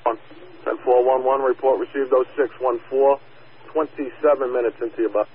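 A man dispatches fire crews in clipped, procedural radio talk. His voice sits at a middle pitch. The audio is thin and phone-like, with faint noise behind it. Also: steady tone, moderate pace. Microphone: two-way radio system.

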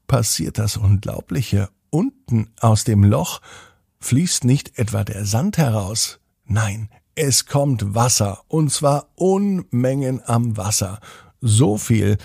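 Slow, low-voiced man telling bedtime stories. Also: creaky, dark tone, husky, no echo, clean studio sound. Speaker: storyteller, podcast host. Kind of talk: scripted bedtime story narration for children.

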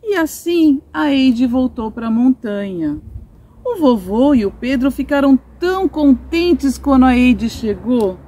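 A middle-aged Brazilian woman reads a story for children in simple, explanatory phrases. Her voice is fairly high and very lively in intonation, with a soft, mellow tone. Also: measured pace, slight background noise. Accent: Brazilian Portuguese. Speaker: storyteller reading a children's audiobook. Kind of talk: expressive children's story reading. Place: outdoors in a sunny garden patio, seated.

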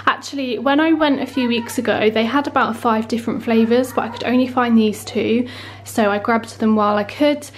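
A woman's voice: on the high side, fairly even intonation, a little fast, slight background noise. A woman in her thirties chatting casually through a grocery haul in her kitchen, listing items and prices. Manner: chatty, lists prices item by item. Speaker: family vlogger showing a grocery haul. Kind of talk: casual haul chat to camera. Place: home kitchen, indoors. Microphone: handheld camera filming her hands.

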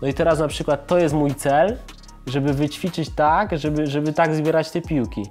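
A man's voice: a little high, moderate pace. Young man, footballer, casual storytelling, laughs often, living room set, faint background music. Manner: lively storyteller, laughs often, repeats "dokładnie". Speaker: footballer guest. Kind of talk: casual anecdote-telling.

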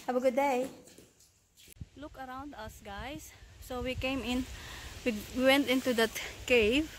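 A woman's voice: sits high, a little hiss or hum. A middle-aged woman casually narrating a self-guided cave tour for her vlog, pointing things out to viewers as she films on a handheld phone. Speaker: travel vlogger. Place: inside a show cave. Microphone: handheld phone camera.

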